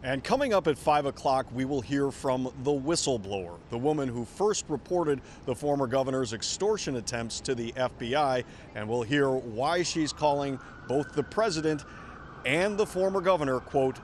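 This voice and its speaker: A man's voice: mid-range voice, animated, normal speed, slight background noise. A middle-aged American man delivering a scripted television news report as a reporter.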